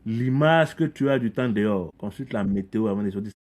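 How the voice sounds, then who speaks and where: A man's voice: on the low side, fairly quick, a little mellow. A middle-aged man from Ivory Coast, a community leader speaking as a podcast guest, talking earnestly and reflectively, often repeating words as he restarts phrases. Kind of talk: conversational interview.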